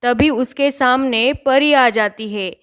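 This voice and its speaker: A woman's voice: sits high, takes it slowly, fairly bright tone, clean tone. A woman reading a scripted fairy tale as the narrator of a children's story.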